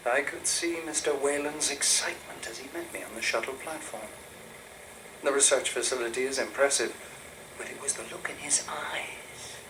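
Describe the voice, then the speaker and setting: An earnest researcher, played by a man gives a measured, awed report in a game audio log. The voice is mid-pitched, hushed and breathy, over clear background noise. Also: natural voice. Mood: quiet awe. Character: earnest, awed researcher logging alien ruins.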